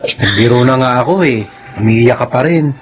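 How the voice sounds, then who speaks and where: A young doctor and caring older brother in a Filipino radio drama, voiced by a man. His voice is mid-pitched, playful and affectionate, on a slightly dull recording. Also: normal speed, soft background music, natural voice. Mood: teasing, fond.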